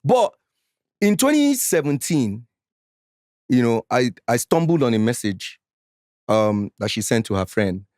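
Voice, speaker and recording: A man in his forties with a Nigerian accent, a guest in a casual interview who talks in an animated, defensive way and slips into Pidgin when he gets heated. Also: medium pitch, measured pace, long pauses, no room sound, clean studio sound. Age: 40s.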